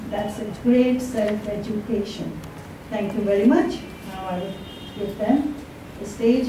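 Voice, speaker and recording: A woman giving an effusive, emphatic introduction at a live literary event, savoring her phrases. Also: mid-range voice. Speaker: event speaker introducing readers. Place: large echoey room at a live event. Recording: echoey hall, distant mic, faint noise.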